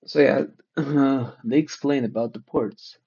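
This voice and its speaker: A man's voice: mid-range voice, a little slow, mellow, breathy edge, muffled recording. A man for whom English is not a first language, taking notes aloud in a casual study vlog, hesitant and restarting as he searches for words. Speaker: learner sharing notes.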